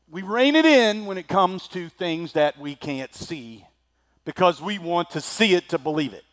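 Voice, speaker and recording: Man, preacher, high voice, slightly scratchy, loud then hushed. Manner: dramatic swings from loud declaiming to low asides. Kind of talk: sermon.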